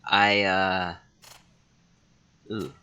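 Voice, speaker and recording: A man's voice: sits low, flat delivery, drawn-out words, pushed and bright, clean tone, muffled recording. A man hosting a casual let's play, musing and thinking aloud as he goes. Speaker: gaming channel host.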